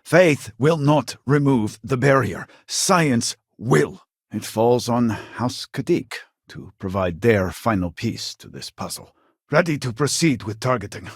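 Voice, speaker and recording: A man's voice: mid-range voice, lively intonation, measured pace, husky, dry recording, clean studio sound. An actor playing an elderly, proud and impatient male scientist in a video game, speaking in a formal, theatrical way. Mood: stern, impatient. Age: older.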